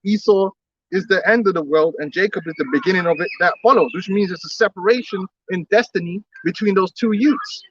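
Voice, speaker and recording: A man's voice: sits high, fairly quick, muffled recording, slight background noise. A man preaching outdoors on the street, emphatic and repetitive, often laughing between his points.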